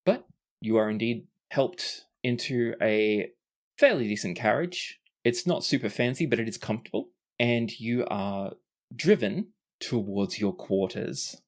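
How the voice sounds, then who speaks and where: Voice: mid-range voice, a little slow. A game master narrating a tabletop role-playing game in a casual, sweary way.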